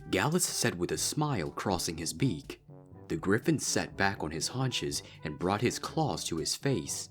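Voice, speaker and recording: A man's voice: sits low, big pitch swings, a little slow, fairly bright tone, faint music behind. A man reading a scripted fan fiction story aloud as its narrator.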